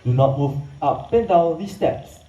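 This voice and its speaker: A man whose first language is Mandarin, presenting a live night animal show in an outdoor amphitheater, hyping the crowd and narrating in a theatrical, drawn-out way. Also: fairly high pitch, animated, warm tone, lo-fi sound. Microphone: PA system heard from the audience. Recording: echoey PA sound from far off.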